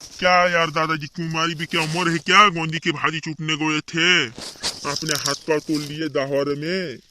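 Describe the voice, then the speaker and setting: Cartoon doctor, played by a man: brisk and colloquial, a touch high in pitch, reassures over and over with a scolding edge. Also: flat delivery, bright, forceful tone, a little hiss or hum. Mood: chiding, exasperated. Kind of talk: amateur regional comedy cartoon dubbing.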